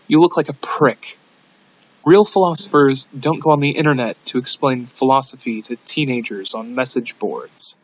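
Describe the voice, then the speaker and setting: A man reading a scripted audiobook in an amateur narration style, on a dull lo-fi recording. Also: mid-range voice. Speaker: audiobook reader.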